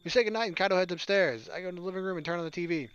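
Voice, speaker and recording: Male gaming commentator, quick pace, reads story narration and tosses in offhand jokes, music behind him. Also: high voice, fairly bright tone, clean tone, highs cut off. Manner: joking, offhand asides. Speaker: let's player reading a visual novel aloud. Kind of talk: gaming commentary mixed with reading narration aloud. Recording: music under the voice. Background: game soundtrack music.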